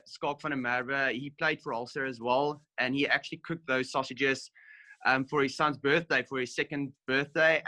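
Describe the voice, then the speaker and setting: A man's voice: mid-range voice, pushed and bright. A young South African man, a rugby player and food founder, chatting casually over a video call with many ums, just off a gym session. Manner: friendly, loose, many ums.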